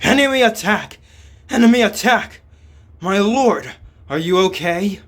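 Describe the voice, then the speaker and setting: A man's voice: high voice, animated, unhurried, fairly bright tone, slight background noise, pitched above the performer's own voice. A man voicing an alert fantasy game warrior, urgent and commanding. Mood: urgent, alarmed.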